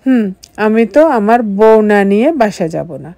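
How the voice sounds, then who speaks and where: A woman's voice: medium pitch, animated, normal speed, warm tone, no echo, close to an everyday voice. A woman voicing a young husband who teases and dotes on his pregnant wife, in a storybook reading. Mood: teasing. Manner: playful, coaxing. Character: young husband, teasing and doting.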